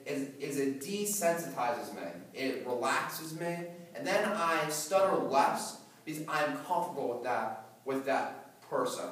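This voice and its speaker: A man's voice: on the high side, fairly bright tone. A teenage boy who stutters, giving a prepared talk to an audience, with repeated words and blocks. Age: teens. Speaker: student speaker. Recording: echoey hall, voice from far off.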